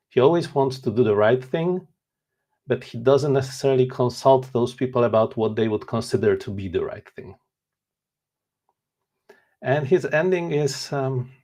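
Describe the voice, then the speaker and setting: A man's voice: medium pitch, a little mellow. A man in his forties from Poland, a native Polish speaker, talking earnestly and candidly as an author guest in an interview. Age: 40s. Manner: earnest, candid, self-deprecating. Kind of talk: casual interview chat.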